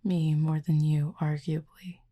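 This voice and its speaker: A woman voicing a calm, curious cupid in an intimate audio roleplay, speaking softly and half-whispered with formal, measured, gently dry phrasing. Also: sits low. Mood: calm, gently teasing. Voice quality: breathy, soft, half-whispered. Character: calm, curious otherworldly cupid who feeds on feelings.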